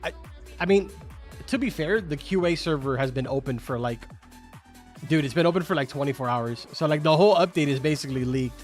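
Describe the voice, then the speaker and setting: A man's voice: on the high side, a little fast. Young man, native Spanish speaker, streaming. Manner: chatty, filler-heavy, answers chat questions. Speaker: game streamer. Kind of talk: casual live-stream chat with viewers. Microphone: studio microphone. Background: faint game music.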